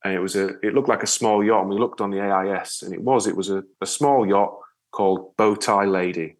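Low-voiced northern English man, halting and conversational. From Yorkshire, England. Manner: rambling, halting delivery with repeated words. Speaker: interview guest, ocean rower and spearfisher. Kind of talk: casual conversational storytelling.

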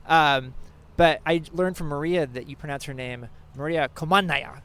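Easygoing man with a higher voice. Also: moderate pace, fairly bright tone. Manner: easygoing, teasing. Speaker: podcast host. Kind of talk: casual chat and ad read.

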